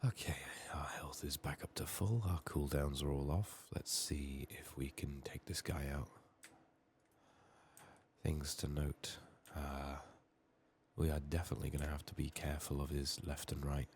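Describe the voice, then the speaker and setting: A soft-spoken man giving unhurried ASMR game commentary, musing to himself and sometimes slipping into a whisper. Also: extremely low pitch, steady tone, slight background noise. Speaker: gaming streamer, ASMR host.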